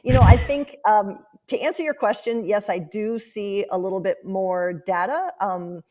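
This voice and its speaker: A woman's voice: medium pitch, moderate pace, dark tone, faint music behind. A woman giving conversational sports analysis as a studio analyst guest.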